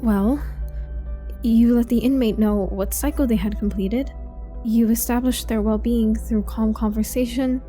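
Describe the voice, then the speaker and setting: A woman playing an earnest, quietly sympathetic prison trainee in an audio drama, polite and tentative with questions. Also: fairly high pitch, steady tone, measured pace, soft background music, close to an everyday voice. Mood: hesitant.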